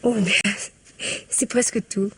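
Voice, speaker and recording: An actress in a 1950s-style French radio drama playing a cheerful, talkative and naive wife. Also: female voice, a little high, steady tone, slow pace, slightly rough, no echo, full character voice. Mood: chatty. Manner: warm, talkative.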